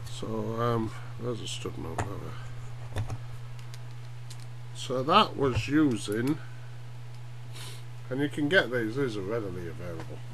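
A middle-aged man giving an informal, halting hobby demonstration at home, often checking in with short tag questions. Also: fairly low pitch, big pitch swings. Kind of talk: informal explainer vlog. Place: small cluttered room at home, indoors. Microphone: webcam at arm's length. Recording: noisy webcam audio with hum.

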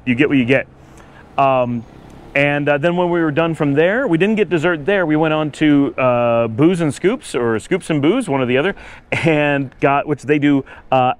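A middle-aged man chatting casually in a walking vlog, rambling with lots of fillers and restarts, filmed outdoors on a city street with a camera held at arm's length. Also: on the high side. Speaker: travel vlogger. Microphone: handheld action camera at arm's length. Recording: faint outdoor street noise.

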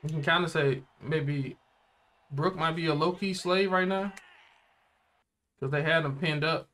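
Young American male reaction co-host, slightly high voice, casual slangy delivery. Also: fairly even intonation, unhurried, bright, forceful tone. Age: young adult. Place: small indoor room.

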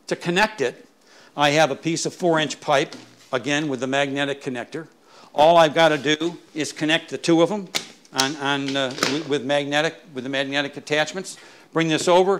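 A man's voice: on the high side, fairly bright tone. Man giving an informal workshop tour. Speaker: woodturner giving a shop tour. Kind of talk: informal explanatory walkthrough. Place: small garage workshop.

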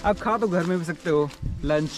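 A man's voice: on the high side, moderate pace, low-quality recording. A man playfully questioning friends at an outdoor picnic, like a mock interviewer. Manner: teasing questions. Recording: music over the voice.

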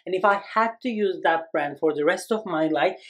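A man in his thirties from Turkey, giving an animated, expressive perfume review to camera in a small room. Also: moderate pace. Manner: animated, expressive, lively swings in pitch and volume. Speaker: fragrance reviewer. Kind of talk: casual video review. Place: small indoor room against a plain wall.

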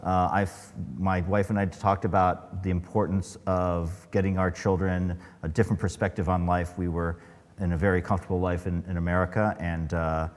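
A man with a very low voice, thinking aloud as an astronaut panelist. He restarts his sentences often and gropes for words. His voice echoes in a large hall with a long reverb. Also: level tone, normal speed. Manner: reflective, many fillers and restarts. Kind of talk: panel talk. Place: large event hall, on stage.

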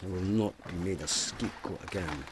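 A man's voice: deep voice, brisk, slight background noise, close to an everyday voice. An actor playing a stern, pious and haunted police sergeant in an audio drama, formal and emphatic in his speech. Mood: brooding.

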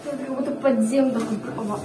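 A female speaker chatting casually with family in an excited, playful way. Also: on the high side, fast, recorded from a distance. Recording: echoey, noisy, off-mic phone recording.

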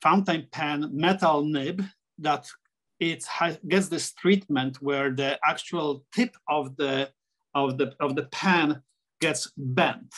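A man's voice: on the high side, takes it slowly, a bit bright. A middle-aged man from Poland with a Polish accent, talking as a guest on a video call interview, with many restarts and fillers. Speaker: interview guest, architect and sketcher. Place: small room at home, framed pictures on wall. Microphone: laptop webcam on video call.

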